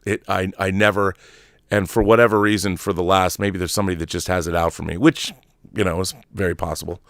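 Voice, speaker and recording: Low-voiced man, emphatic movie talk. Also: little pitch movement, fast talker, no echo, clean studio sound. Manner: emphatic, rambling, repeats and restarts phrases. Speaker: host of a film commentary show; trailer editor. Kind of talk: opinionated, off-the-cuff movie commentary.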